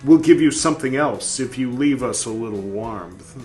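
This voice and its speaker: A man reading as an old male prophet who pleads for the dead in a measured, lamenting way. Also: medium pitch, a little mellow, soft background music, lightly acted. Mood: pleading.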